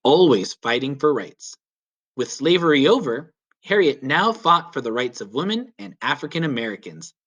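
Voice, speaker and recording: Man reading aloud to children, very animated pitch. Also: moderate pace, breathy edge, lo-fi sound. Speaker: teacher reading a story aloud. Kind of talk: children's read-aloud narration.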